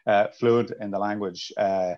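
An Irish man filmmaker talking thoughtfully in an interview, restarting sentences and pausing often. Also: lowish voice, fairly even intonation. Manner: thoughtful, with many restarts and "uh" pauses. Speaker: filmmaker, guest. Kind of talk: casual interview chat. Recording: dull lo-fi audio.

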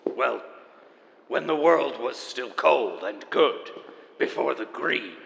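An actor playing a grandiose, theatrical chronicler enduring after the apocalypse, pompous and melodramatic in an audio drama monologue. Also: male voice, medium pitch, animated, slow pace, gravelly, fairly bright tone, big-room sound, exaggerated voice, low-quality recording. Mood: wistful, grandiose. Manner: pompous, melodramatic, savoring each word.

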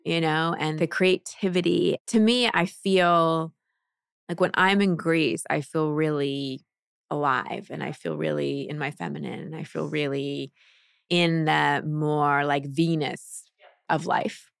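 A woman's voice: deep voice, takes it slowly, gravelly, clean tone, no echo, clean studio sound. A woman coach talking earnestly and reflectively in an interview, with many "like"s. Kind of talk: casual interview chat.

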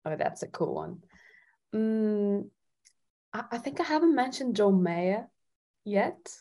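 Slow, mellow-voiced woman thinking aloud. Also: mid-range voice, lively intonation. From Italy. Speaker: guest singer-songwriter. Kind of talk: casual interview chat.

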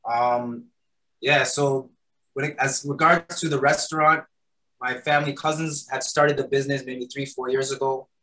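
A man's voice: mid-range voice, level tone, normal speed, sharp tone, low-quality recording. A man answering interview questions in a loose, conversational way, filling his answers with "um" and "right?". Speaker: guest, patty shop founder. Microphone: recording studio mic.

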